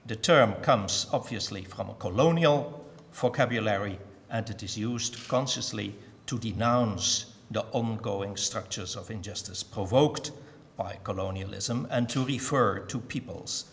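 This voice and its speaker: An older man from the Netherlands giving a measured, thoughtful talk at a symposium, with frequent pauses and ums. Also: lowish voice, creaky. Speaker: symposium speaker. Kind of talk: academic conference talk. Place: museum lecture hall. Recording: long hall reverb.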